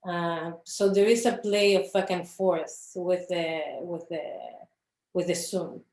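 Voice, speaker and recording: A woman talks about her work in a slow, halting way. Her voice sits a little low, and she keeps pausing and restarting as she searches for the right words. The room around her is echoey. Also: fairly even intonation, darkish tone. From Chile. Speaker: filmmaker, interview guest. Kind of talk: reflective conversation about her films.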